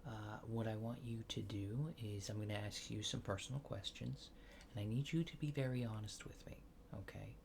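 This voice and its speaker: A man playing a laid-back, faintly apologetic alien fixer in a soft, hesitant, often near-whispered ASMR roleplay. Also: lowish voice, normal speed, vocal fry, a little hiss or hum. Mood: businesslike, gentle. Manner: hesitant, understated, dryly matter-of-fact.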